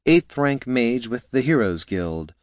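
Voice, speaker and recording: A male narrator plays a sharp young sorceress in a fantasy audiobook. She is proud and combative, with brash, cutting delivery that swings in pitch. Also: big pitch swings, unhurried, no echo, studio quality.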